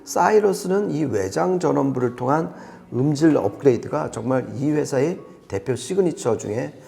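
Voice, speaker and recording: A man's voice: fairly high pitch, lively intonation, moderate pace, vocal fry, warm tone. A man explaining products conversationally as an audio reviewer, with an emphatic manner.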